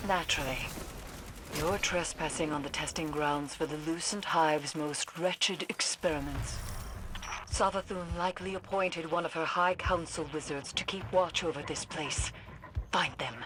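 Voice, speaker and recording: An actress playing a solemn, knowing mystic in a video game, speaking in formal, cryptic, measured phrases. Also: female voice, sits low, fairly even intonation, background noise, lightly acted. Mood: grave, cryptic. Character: solemn, knowing woman mystic who guides with dark lore. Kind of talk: scripted video game dialogue.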